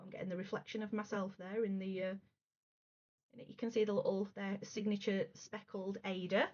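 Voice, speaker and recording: A woman in her thirties, a crafting vlogger, chatting casually and gushing about her work, her voice going a little hoarse. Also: a little high. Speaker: cross stitch vlogger. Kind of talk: casual show-and-tell chat. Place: room at home. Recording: slightly dull lo-fi home recording.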